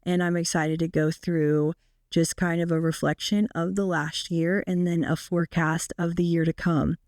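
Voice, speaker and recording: A woman in her twenties hosting a casual solo podcast, her naturally raspy, deep voice made hoarse by a recent illness, chatting with frequent fillers. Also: flat delivery, moderate pace, darkish tone, dry recording, studio quality. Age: 20s. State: sick, hoarse. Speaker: podcast host.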